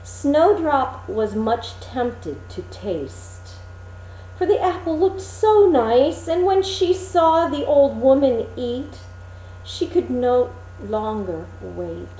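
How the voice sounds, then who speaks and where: Slow-paced woman storyteller in a roomy space. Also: high-pitched, expressive, warm tone. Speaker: storyteller reading a fairy tale. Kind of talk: expressive, measured storybook reading. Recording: roomy echo, faint background noise.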